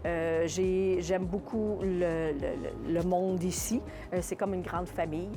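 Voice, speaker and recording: A woman with a Quebec French accent giving casual interview answers as a shop owner, haltingly searching for her words. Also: lowish voice, steady tone, over music. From Contrecœur, Quebec, Canada. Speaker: small business owner being interviewed.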